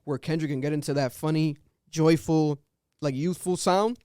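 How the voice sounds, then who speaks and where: Podcast co-host, male, fairly high voice, smooth tone; loose, joking, animated talk. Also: no echo, clean studio sound. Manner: animated, laughing, quick asides. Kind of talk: casual podcast chat.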